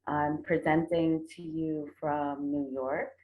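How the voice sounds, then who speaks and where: A middle-aged American woman giving a formal academic conference presentation, phrasing carefully with pauses. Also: sits low, little pitch movement, slight background noise. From United States. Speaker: conference presenter, traditional medicine researcher. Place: indoor room, remote online presentation. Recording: roomy, tinny phone-like online call audio.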